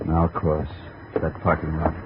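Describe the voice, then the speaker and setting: A very low-voiced shady gunman in an old radio detective drama. He gives slangy orders with casual, cool menace. The recording is muffled, with clear noise behind it. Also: completely flat delivery, moderate pace. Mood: quietly menacing. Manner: slangy, commanding, casually threatening. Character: shady gunman in a bar, coolly menacing, talkative.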